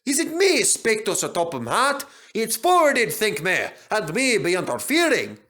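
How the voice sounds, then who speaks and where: Storybook steam engine, Scottish, male: earnest, excitable, high and bright played by a male reader. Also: measured pace, exaggerated voice. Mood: indignant, hesitant. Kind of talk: children's storybook character voice.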